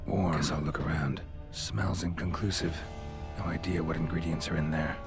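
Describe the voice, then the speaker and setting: Gruff, laconic hunter for a fantasy game, low gravelly mutter, deadpan, heavy score underneath. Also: male voice, moderate pace. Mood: muttering, observant. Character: stoic, gruff male monster hunter examining clues. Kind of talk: video game character acting. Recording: game music behind the voice. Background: loud game music.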